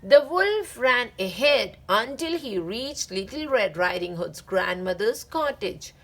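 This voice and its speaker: A woman hosting a read-aloud, narrating a children's story deliberately with drawn-out phrase endings. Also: on the high side, sing-song, a bit bright. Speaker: read-aloud channel host.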